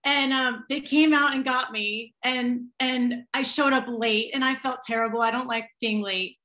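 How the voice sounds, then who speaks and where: A young woman singer with a slightly raspy voice, chatting as a guest on a video call from a room at home, gushing and full of fillers. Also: a little high, fairly even intonation, moderate pace, pushed and bright. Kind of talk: casual chat, storytelling. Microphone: webcam on a video call.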